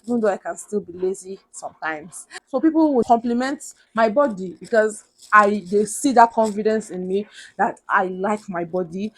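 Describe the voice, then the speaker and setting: A young Nigerian woman with a big, loud voice talks straight to camera in a vlog. She pushes her advice with earnest emphasis and keeps repeating the key points. Her pitch sits in a mid, everyday range for a woman. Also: poor audio. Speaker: vlogger. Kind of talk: motivational advice talk to camera.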